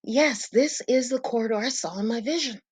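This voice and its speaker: A woman acts out an urgent young psychic heroine in an audio drama. Her voice is raised in pitch and commanding, that of someone leading others out of danger. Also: a little fast, darkish tone, breathy edge. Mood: urgent, guarded.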